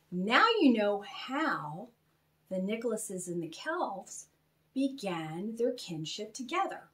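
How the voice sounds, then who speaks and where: Slow, lively-pitched woman storyteller. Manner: animated, expressive, spells out names slowly. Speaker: author reading own children's book aloud. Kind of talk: storytelling read-aloud, chatty asides to young listeners.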